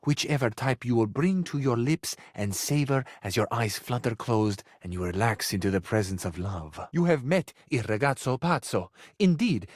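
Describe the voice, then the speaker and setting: A man's voice: mid-range voice, no echo, clean studio sound. A man voicing a suave, passionate living cabinet in a video game, with an Italian accent and a florid, flirtatious, earnest way of talking. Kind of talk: theatrical romantic video game voice acting.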